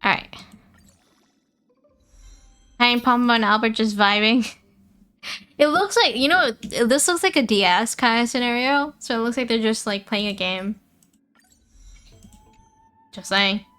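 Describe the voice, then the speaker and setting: A woman's voice: a little high, expressive, unhurried, pauses often, sharp tone. A woman hosting a gaming livestream, thinking aloud casually and chatting informally with her viewers, often repeating herself. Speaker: livestream host. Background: music.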